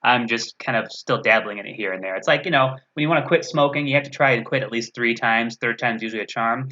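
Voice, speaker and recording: Guy hosting a gaming chat, punchy bright voice, wry jokey comments, slightly lofi audio. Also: mid-range voice, fast. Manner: joking, wry asides. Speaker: host. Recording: dull lo-fi audio.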